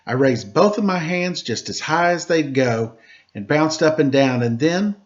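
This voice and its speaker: Higher-voiced man reading a storybook, dull sound. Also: a little slow. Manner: animated, theatrical. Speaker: storyteller reading a children's book aloud. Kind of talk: expressive rhyming storybook read-aloud.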